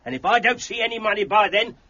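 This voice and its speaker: An actor playing a stern, impatient landlord in an audio drama, blunt and threatening. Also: male voice, high voice, quick pace, pushed and bright. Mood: demanding. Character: stern, impatient landlord demanding overdue rent. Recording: dull, muffled audio.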